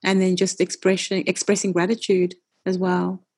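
Middle-aged woman guest, husky voice, talks fast and passionate, lots of restarts. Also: lowish voice, monotone, darkish tone. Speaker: nutritionist and wellness coach, guest. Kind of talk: casual interview chat.

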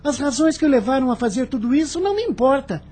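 Radio drama: resentful young man, very high-pitched, soft mellow tone, anxious and reproachful. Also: very animated, fast. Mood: bitter, resentful. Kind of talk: radio soap opera acting.

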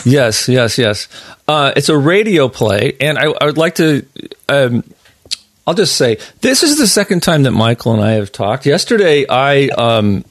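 A middle-aged man with an average-pitched voice, recorded dry with little room sound. He hosts casually, feeling his way through sentences as if thinking out loud. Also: sing-song, measured pace, no room sound. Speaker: interviewer, host. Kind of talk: casual chat.

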